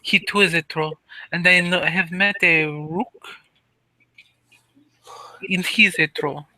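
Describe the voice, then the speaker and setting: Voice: mid-range voice, fairly even intonation, unhurried, bright, forceful tone, dry recording, poor audio. A player voicing a young female creature with a tail who speaks stiffly and formally, without contractions. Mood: curious.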